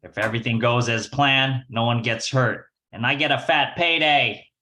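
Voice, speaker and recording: Scheming small-time gambler, 1940s radio play, wisecracking, bright forceful delivery, male actor. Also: medium pitch, normal speed, a little hiss or hum, lightly acted. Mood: menacing. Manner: wisecracking, slangy. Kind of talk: 1940s radio detective acting.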